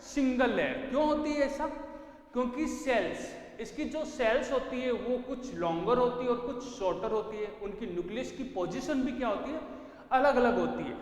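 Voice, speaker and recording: A man teaching biology who dictates notes slowly, repeats terms and asks questions he then answers. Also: very high voice, lively intonation. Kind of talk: classroom lecture dictating notes. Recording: echoey, reverberant classroom.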